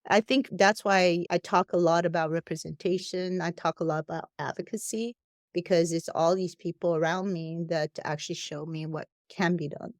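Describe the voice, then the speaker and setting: A woman's voice: on the low side, fairly even intonation, moderate pace, no echo, studio quality. A woman with a non-native English accent, a company founder speaking frankly and laughing easily as a podcast guest. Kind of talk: casual podcast conversation.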